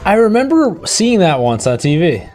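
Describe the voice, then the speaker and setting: Guy co-hosting a stream, normal pitch, chatting off the cuff, bit of music behind him. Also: sing-song, normal speed, a little mellow. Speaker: co-host of a live stream. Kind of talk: casual chat, off-the-cuff quizzing.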